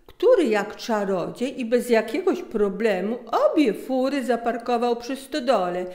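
A middle-aged woman reading a story aloud as an expressive narrator. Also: a little high. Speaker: author reading own story. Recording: echoey room.

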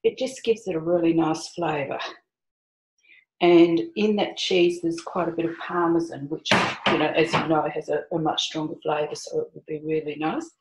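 A woman's voice: sits low, steady tone, normal speed, darkish tone. Older woman chatting through a kitchen demo. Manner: chatty, homely explanations while working. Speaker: cooking show host. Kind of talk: home cooking demonstration. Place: home kitchen indoors. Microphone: camera on the counter. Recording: echoey kitchen with clicks and noise.

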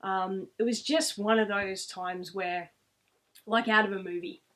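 A woman's voice: mid-range voice, steady tone, measured pace, a bit bright. Rambling Australian woman telling personal stories. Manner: rambling, reflective. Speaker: vlogger. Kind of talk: casual storytelling to camera. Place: small room at home, indoors, seated.

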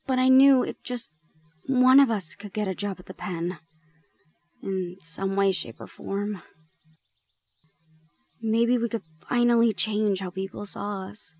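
A woman playing a shy, gentle young gorgon in an intimate audio roleplay, speaking softly and haltingly. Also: medium pitch, no room sound. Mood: reflective, confiding. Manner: soft, hesitant, halting.

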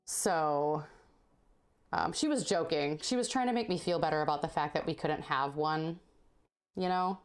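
Low-voiced chatty woman livestreaming crafts. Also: normal speed, smooth. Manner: chatty, laughs often, reads and answers chat. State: slight sore throat. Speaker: cross-stitch livestreamer. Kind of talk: casual chat with stream chat while stitching. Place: indoor home craft room.

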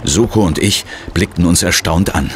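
An actor playing a resolute ghost hunter who tells his own adventure in a measured, suspenseful audio drama style. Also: deep voice, noisy background, lightly acted. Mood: tense. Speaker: first-person narrator and lead character. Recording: loud dramatic music and effects behind.

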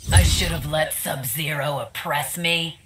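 A woman's voice: low voice, unhurried, over music. A woman playing an arrogant young ice warrior in a video game, cold and defiant. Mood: cold defiance. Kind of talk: video game character dialogue.

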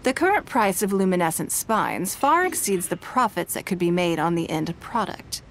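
A woman voicing a poised, wealthy businesswoman in a video game, speaking in a measured, composed and authoritative way. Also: on the low side, big pitch swings, fairly bright tone, a little hiss or hum.

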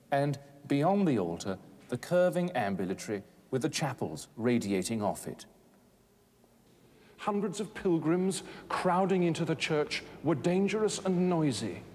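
A man in his thirties presenting a scripted educational documentary in a measured, articulate, expository manner. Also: mid-range voice, a little mellow. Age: 30s. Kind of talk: scripted educational narration on architecture. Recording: noisy old documentary film soundtrack.

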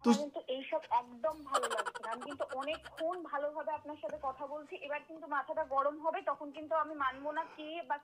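A woman's voice: high voice, steady tone, brisk, hardly any pauses, poor audio. A woman speaking in conversation over a telephone. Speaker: person receiving a phone call. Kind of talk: phone conversation. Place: on a phone played through a speaker.

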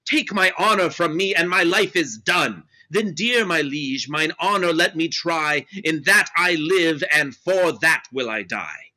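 A man's voice: fairly high pitch, lively intonation, unhurried, hardly any pauses, sharp tone, full character voice. A man voicing a proud, wronged nobleman in a Shakespeare reading over a video call, with impassioned, defiant verse delivery. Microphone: video call webcam.

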